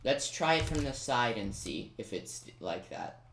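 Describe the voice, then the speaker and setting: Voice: mid-range voice, big pitch swings, measured pace, a bit bright, reverberant, a little hiss or hum. A gaming commentator giving casual step-by-step gameplay guidance, informal and talking directly to the viewer. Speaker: video game commentator.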